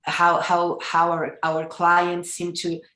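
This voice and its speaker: A woman's voice: on the low side, monotone, slow, bright, forceful tone, echoey room, slight background noise. A woman therapist, asking a question over a video call and searching for her words.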